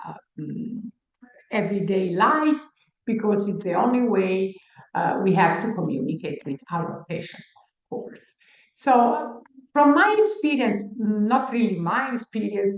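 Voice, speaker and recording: Slow, measured woman in a roomy hall. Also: mid-range voice. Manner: slow and measured, with frequent hesitations and restarts. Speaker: medical school faculty member. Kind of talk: answering a question at an open day. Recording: roomy, muffled livestream audio.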